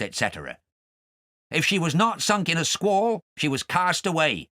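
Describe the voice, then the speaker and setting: A man's voice: medium pitch, bright, forceful tone, dry recording, clean studio sound. A man voicing a self-righteous, rambling sailor with a grudge, telling his confession in an audiobook. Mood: pious, vindictive. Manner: sanctimonious, aggrieved, rambling. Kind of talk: audiobook character narration.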